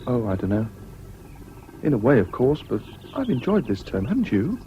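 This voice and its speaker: Kind older english schoolboy, posh accent, mellow and measured, muffled old radio drama with light hiss. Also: male voice, mid-range voice, wildly swooping pitch. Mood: kindly, friendly. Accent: English public-school. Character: Payne, calm, decent older male schoolboy. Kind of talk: 1970s BBC radio drama acting.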